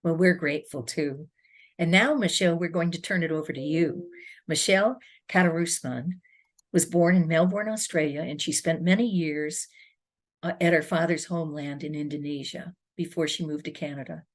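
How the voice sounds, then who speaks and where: A woman with a low voice hosting an author panel. She asks questions and reads out guest introductions in a measured, friendly manner. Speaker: panel moderator.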